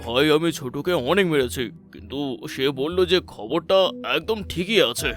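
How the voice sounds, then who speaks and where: A man's voice: a little high, animated, a little slow, fairly bright tone, soft background music. A man voicing a scheming, loyal henchman thief in a cartoon, eager and reassuring. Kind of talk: cartoon voice acting.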